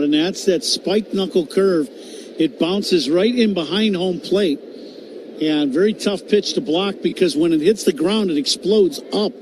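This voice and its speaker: An American man giving conversational color commentary on a baseball radio broadcast. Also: high voice. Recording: clearly audible stadium ambience noise. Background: ballpark ambience.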